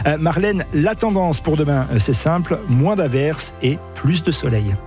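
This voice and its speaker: A man presenting the evening radio news in a formal, measured way, with the odd hesitation, at a studio microphone. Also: fairly high pitch, expressive, darkish tone, faint music behind. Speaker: news anchor. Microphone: dry studio microphone.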